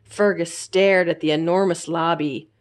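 A woman reading a children's story aloud as a library storyteller, in an expressive, simple storytelling manner. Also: lowish voice, a little slow.

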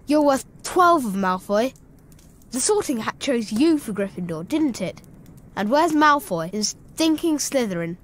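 A woman's voice: a little high, very animated, measured pace. A woman playing an earnest, loyal young schoolboy wizard in a video game. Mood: reassuring.

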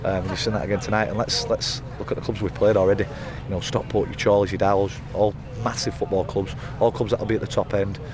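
A man in his thirties, a football manager giving a post-match interview outdoors by the pitch at night, talking in rambling runs full of fillers and restarts. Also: little pitch movement, fast talker, hardly any pauses, crowd noise. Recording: outdoor interview with loud background noise.

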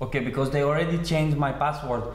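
A man on a phone call as a confused-sounding customer, halting and naive, with non-native English phrasing. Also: fairly high pitch. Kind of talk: hesitant phone conversation. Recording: echoey room sound.

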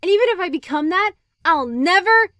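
A woman's voice: extremely high pitch, lively intonation, brisk, bright, forceful tone, dry recording, studio quality, faint music behind, exaggerated voice. A woman voicing an earnest, troubled but defiant teenage princess in a cartoon comic dub.

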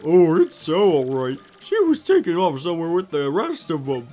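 A man's voice: a little high, very animated, normal speed, voice pushed up. A gloomy old creature elder scoffs at every hopeful plan, as a man acts him out. His voice swings up and down with sarcasm. Faint game music plays behind. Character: grumpy old male puni elder, scoffing, doubtful.

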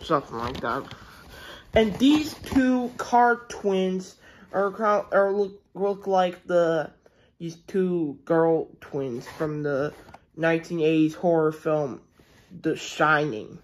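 A toy reviewer giving a casual unboxing review at home, rambling and hesitant with many restarts, excited about the toys, recorded on a handheld phone. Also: mid-range voice, slow pace.

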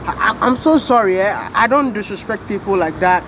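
A man's voice: high voice, expressive, brisk, noisy background. A Ghanaian man hosting a casual interview outdoors, asking teasing, playful questions. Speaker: travel vlogger host. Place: outdoor garden patio.

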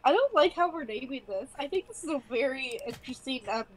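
A woman's voice: high-pitched, expressive, fast, a crowd in the background, low-quality recording. A congested woman playing a horror game with a friend, chatty and a little nervous, asking lots of questions. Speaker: co-op gaming partner. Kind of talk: casual gameplay chat.

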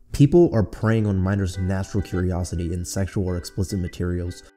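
A man's voice: deep voice, warm tone. A man co-hosting a scripted video essay, speaking in an earnest, explanatory way. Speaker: co-host of a channel. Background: background music.

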